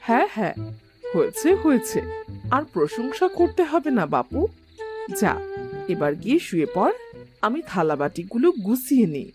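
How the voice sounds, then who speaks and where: A woman voicing a doting older village aunt in an animated story, homely, coaxing and chatty. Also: on the high side, normal speed, long pauses. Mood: fond, modest. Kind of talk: animated cartoon story voice acting. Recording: music behind the voice.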